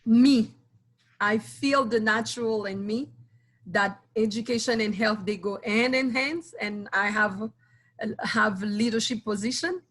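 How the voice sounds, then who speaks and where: A woman answering interview questions earnestly and proudly, in short phrases with pauses. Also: a little high, a bit bright. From Haiti. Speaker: guest, school board candidate.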